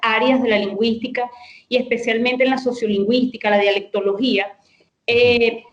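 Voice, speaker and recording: A woman's voice: fairly high pitch, steady tone, normal speed, echoey room, dull audio. A woman speaking as a guest lexicographer, explaining her ideas thoughtfully, with frequent hesitations and restarts. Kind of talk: explanatory conversation.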